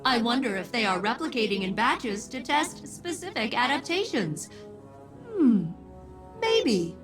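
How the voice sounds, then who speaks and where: A woman's voice: a little high, very animated, unhurried, faint music behind. A woman voicing a dutiful, precise AI scientist in a video game, formal and dryly wry. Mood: curious. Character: dutiful, precise female artificial intelligence scientist.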